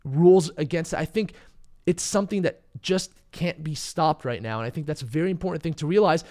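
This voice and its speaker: A young man hosting a live crypto trading stream, a little hoarse and under the weather, talking casually and answering chat questions. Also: fairly high pitch, fairly quick, a little mellow. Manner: casual, chatty, uses fillers, reads chat questions. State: losing his voice, a bit sick. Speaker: crypto trading channel host. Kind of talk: live stream market commentary.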